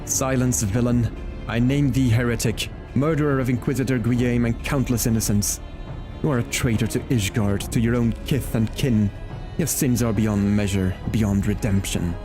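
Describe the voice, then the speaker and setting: A man's voice: mid-range voice, steady tone, normal speed, over music, close to an everyday voice. A man voicing a stern, commanding male noble lord in a video game, speaking formally and declaiming his lines.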